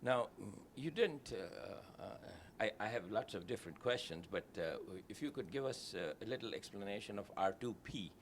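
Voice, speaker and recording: A man from India rises from the audience to ask a pointed question. His voice is fairly low and gravelly. He stumbles over fillers and hesitations as he builds his case. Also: animated, slight background noise. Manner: halting and hesitant, full of "uh" and "um", pressing his point. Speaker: audience member asking a question.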